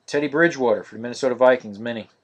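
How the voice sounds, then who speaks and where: A man hosting a live-streamed card break, naming each card in a steady, monotonous voice. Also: medium pitch, rapid-fire, highs cut off. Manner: monotonous, steady listing of cards.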